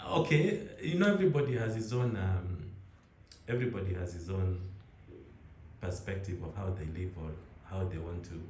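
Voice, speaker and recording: Deep-voiced Kenyan man, easygoing chat. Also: moderate pace, echoey room, slight background noise. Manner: relaxed, rambling, frequent restarts and laughs. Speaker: interviewee. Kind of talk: casual conversation.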